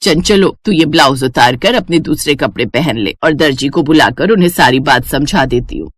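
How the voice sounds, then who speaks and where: A woman's voice: deep voice, lively intonation, moderate pace, dry recording, pitched below the performer's own voice. A woman voicing an older mother-in-law in a cartoon story, a bossy matriarch who instructs and chides. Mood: bossy, chiding. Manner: instructing, chiding.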